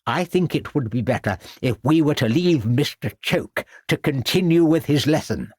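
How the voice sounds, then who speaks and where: A man's voice: a little high, lively intonation, normal speed, a little mellow, breathy edge, dry recording, studio quality, voice pushed up. A man voicing a fussy, flustered elderly headmaster who stammers and speaks pompously.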